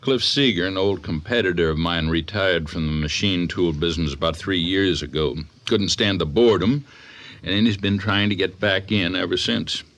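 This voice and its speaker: A man's voice: low voice, moderate pace, a bit bright. A man playing a genial middle-aged father and business owner in a radio drama. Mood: upbeat.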